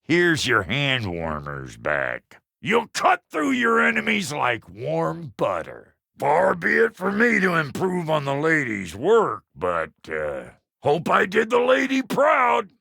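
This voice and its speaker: Grouchy american dwarf smith for a game, raspy growl, slow folksy delivery, teasing and cocky. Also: male voice, a little high, huge pitch swings, pushed and bright, no room sound, clean studio sound, full character voice. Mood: proud, cocky. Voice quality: gravelly, rough. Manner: blunt, folksy, cantankerous. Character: gruff, foul-tempered dwarf blacksmith, cocky and teasing. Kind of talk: video game character lines.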